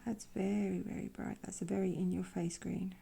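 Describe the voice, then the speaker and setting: A woman with a croaky voice from a sore throat, softly talking through a painting tutorial in quiet, half-whispered asides. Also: medium pitch, little pitch movement, normal speed. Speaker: art channel host. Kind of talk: soft-spoken painting tutorial.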